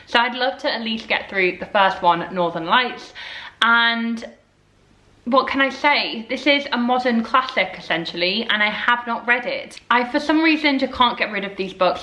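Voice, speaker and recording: A woman's voice: medium pitch, pushed and bright. A woman in her twenties chatting casually to camera as a book vlogger, rambling with lots of fillers. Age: 20s. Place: indoors at home, in front of bookshelves. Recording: echoey room.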